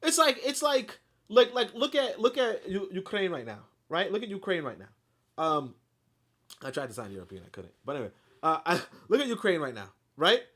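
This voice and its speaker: Casting note: man on the higher side, reads a book aloud and then breaks into heated, blunt asides full of fillers and swearing. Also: moderate pace. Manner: animated, blunt asides with profanity and many fillers. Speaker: host reading a book aloud with commentary. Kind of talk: book reading with heated live commentary.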